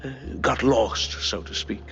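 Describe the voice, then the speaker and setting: Gravelly, stammering, shifty schemer. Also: male voice, medium pitch, a bit bright, dull audio. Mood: nervous, evasive. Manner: stammering, halting. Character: nervous, shifty male schemer, bumbling and evasive. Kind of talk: cartoon game acting.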